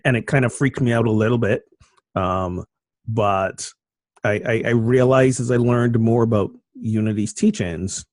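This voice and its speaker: Middle-aged man, low register, dry close sound; easygoing guest storyteller. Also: dry recording. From Barbados. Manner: relaxed, self-deprecating, with frequent fillers. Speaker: minister, show guest. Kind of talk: casual conversational storytelling.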